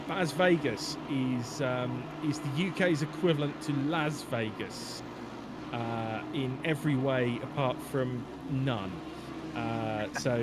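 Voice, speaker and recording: A man's voice: medium pitch, background noise. Rambling chatty male race commentator. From England. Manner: chatty, rambling, many fillers, reads chat aloud. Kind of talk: casual live race commentary.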